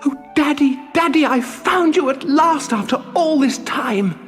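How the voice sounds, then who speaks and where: In a children's storybook recording, a small, piteous, excitable puppet boy pipes up high and pleading, with music. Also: a little slow, long reverb tail, full character voice. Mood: overjoyed. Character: frightened, pleading little boy wooden puppet.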